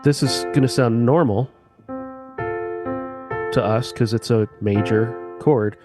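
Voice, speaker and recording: A man's voice: medium pitch, slow, loud music behind the voice. A man, a musician guest on a chat podcast, explaining eagerly with sweeping comparisons into a close mic.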